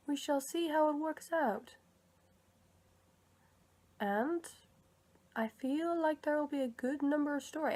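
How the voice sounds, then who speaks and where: Young woman, fairly high voice, casual vlog commentary, tentative, thinking aloud. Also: darkish tone. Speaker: vlogger sharing opinions on film characters.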